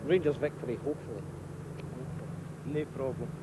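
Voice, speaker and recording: A man's voice: mid-range voice, normal speed. A Northern Irish man, a football fan, giving blunt, confident short answers outside a stadium. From Northern Ireland. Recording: noisy outdoor street recording.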